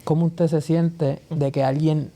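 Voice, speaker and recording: Male host, warm tone, long searching questions. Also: on the high side, level tone, unhurried, creaky. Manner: attentive, long winding questions, "ujú" backchannels. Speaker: podcast host. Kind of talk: interviewer questions.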